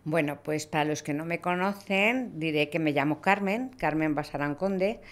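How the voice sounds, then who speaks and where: Woman, 60s, deep low voice, conversational storytelling, self-correcting, studio mic. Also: normal speed. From Toledo, Spain. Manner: gestures with her hands, lively anecdotal telling with self-corrections. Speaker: guest, hunting club president. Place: podcast studio, indoors. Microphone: studio mic on a stand, with headphones.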